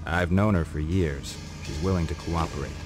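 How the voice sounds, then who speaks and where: Deep voice, gruff worldly handler, streetwise driver, game cutscene, loud music underneath. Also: male voice, normal speed. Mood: low-key briefing. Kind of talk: video game cutscene acting. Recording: loud music behind the dialogue.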